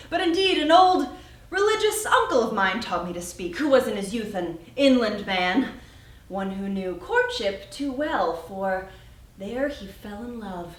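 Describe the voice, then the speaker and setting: A young woman performing a witty, spirited Shakespearean heroine in a theatrical, animated and emphatic monologue. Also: a little high. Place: friend's warehouse. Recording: echoey warehouse, distant mic.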